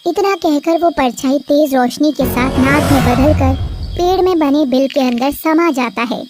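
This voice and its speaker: High-voiced woman narrating a cartoon tale. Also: measured pace, noisy background. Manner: steady, storytelling cadence. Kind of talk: scripted storybook narration.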